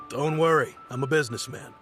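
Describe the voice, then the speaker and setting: Measured, wistful merchant over music. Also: male voice, medium pitch, bright, forceful tone. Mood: friendly, reflective. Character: male shopkeeper, successful, wistful, mild-mannered businessman. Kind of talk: video game character dialogue. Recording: music behind the voice.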